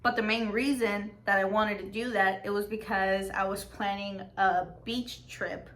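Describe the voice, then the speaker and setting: A woman's voice: mid-range voice, steady tone, a little slow, fairly bright tone. A young woman from Mexico, a podcast host, telling a long personal story in casual chat, full of fillers and restarts. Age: young adult. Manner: rambling, filler-heavy storytelling.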